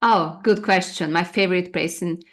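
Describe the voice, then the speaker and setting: A woman's voice: lowish voice, normal speed, fairly bright tone. A woman whose first language is Russian, a coach giving an interview and talking in a casual, hesitant way with many fillers and restarts. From former Soviet Union, Hungarian region. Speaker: interview guest, energy coach.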